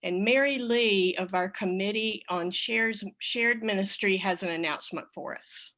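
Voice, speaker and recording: A woman's voice: mid-range voice, moderate pace, a bit bright. A woman leading a church service, giving informal spoken announcements to her congregation.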